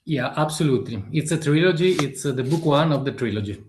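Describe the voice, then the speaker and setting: A man in his fifties with an Italian accent, an author answering interview questions haltingly and searching for words in a non-native language. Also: fairly high pitch, expressive, darkish tone, roomy sound, a little hiss or hum. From Italy. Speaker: author guest.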